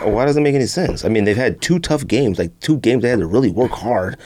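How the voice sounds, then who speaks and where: A man's voice: medium pitch, expressive, fast talker, darkish tone, no room sound. A man co-hosting a sports podcast, chatting and debating casually with a questioning, incredulous manner.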